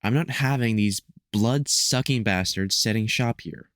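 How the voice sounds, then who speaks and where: A man playing a gruff, protective veteran vampire hunter in an audio roleplay, talking hesitantly and with light sarcasm to the listener. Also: lowish voice, unhurried, fairly bright tone, dry recording, studio quality. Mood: grim, resolute.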